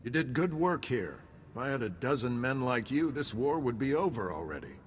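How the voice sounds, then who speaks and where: A man's voice: medium pitch, very animated, normal speed, big-room sound, slight background noise, close to an everyday voice. A man voicing an approving military officer in a video game. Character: approving male military officer fighting a war. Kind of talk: video game dialogue acting.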